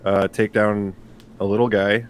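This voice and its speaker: A man chatting casually in a halting, self-deprecating, sweary way as a tabletop player. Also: lowish voice, level tone, a little hiss or hum. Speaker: player on a tabletop game show. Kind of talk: casual chat.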